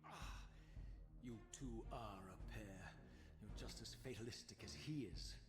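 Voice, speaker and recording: A man's voice: on the low side, unhurried, close to an everyday voice. Guy playing a brooding undead game hero, sneery formal talk, big echo, music behind. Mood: sardonic. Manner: disdainful, sardonic, formal diction. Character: proud, brooding, vengeful male undead wraith. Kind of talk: theatrical video game voice acting. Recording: echoey hall reverb, music behind.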